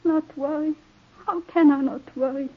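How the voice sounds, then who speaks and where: A woman's voice: high voice, fairly even intonation, moderate pace, close to an everyday voice. A woman playing a frightened, devoted young immigrant in a 1940s radio drama, speaking earnestly and carefully, with a pleading manner. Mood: desperate pleading. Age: 20s. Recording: tinny, phone-like vintage radio recording.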